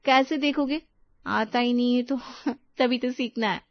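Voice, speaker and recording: A woman's voice: on the high side, steady tone, a little slow, dry recording, muffled recording. A woman voicing a breezy, easily bored young housewife in story dialogue. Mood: pleading.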